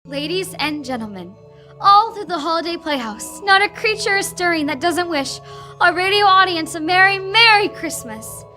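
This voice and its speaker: A woman's voice: very high voice, animated, normal speed, sharp tone, soft background music. A young woman narrating and announcing a scripted old-time radio play. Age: teenager.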